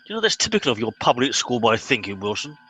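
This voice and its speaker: Man playing a pompous, sharp-voiced English captain. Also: mid-range voice, sing-song, fast talker, breathy edge, soft background music, full character voice. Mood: self-important. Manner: pompous, officious, exasperated. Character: pompous, impatient middle-aged spaceship captain. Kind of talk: comic radio play acting.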